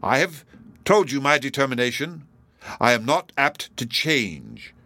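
A man voicing a pompous, menacing elderly judge in a classic novel audiobook, speaking in a formal, measured, self-righteous way. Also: mid-range voice, big pitch swings, bright, forceful tone, no room sound, voice pushed up, full character voice. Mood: stern, unyielding.

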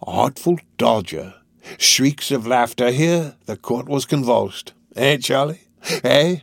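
A man's voice: mid-range voice, lively intonation, takes it slowly, gravelly, no room sound, studio quality, pitched above the performer's own voice, heavily acted. Man acting a wily old criminal master, swooping voice. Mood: gleeful. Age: older. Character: wily old male criminal gang master, wheedling, quick-tempered.

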